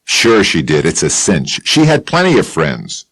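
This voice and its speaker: A man voicing a blunt, combative middle-aged caretaker with a rough, growling edge in an audiobook. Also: low-pitched, wildly swooping pitch, measured pace, a bit bright, dry recording, full character voice. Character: gruff, hard-handed middle-aged caretaker. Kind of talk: audiobook character voice.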